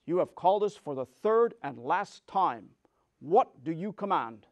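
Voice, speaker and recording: A man's voice: a little high, lively intonation, measured pace. Man playing a formal, declamatory winged monkey leader. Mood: dutiful.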